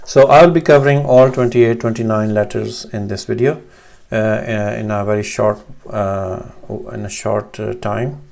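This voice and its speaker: A man from Pakistan whose first language is Urdu, talking into a desk webcam in a small room at home. His voice sits low with a gravelly edge, and he teaches hesitantly, full of fillers and restarts. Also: steady tone, measured pace, a little mellow. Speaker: Arabic teacher. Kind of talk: screen-share lesson.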